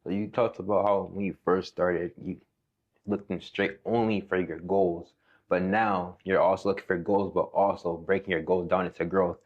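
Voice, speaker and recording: A man's voice: deep voice, steady tone, moderate pace, dull audio. A man hosting a podcast, asking interview questions in a conversational way.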